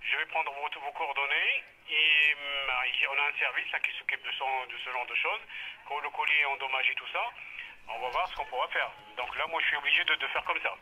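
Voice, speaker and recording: A man working as a customer service agent on a phone call, halting and repetitive as he explains procedure. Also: on the high side, steady tone, pushed and bright. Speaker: parcel company phone agent. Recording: slightly noisy telephone line.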